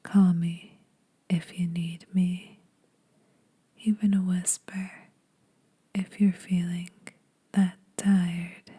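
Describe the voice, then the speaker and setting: A woman voicing a teasing, predatory yet protective female vampire in a breathy whispered ASMR roleplay, speaking slowly and a little formally. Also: lowish voice, little pitch movement, mellow, heavily acted. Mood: calm, reassuring. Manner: slow, deliberate, faintly formal teasing.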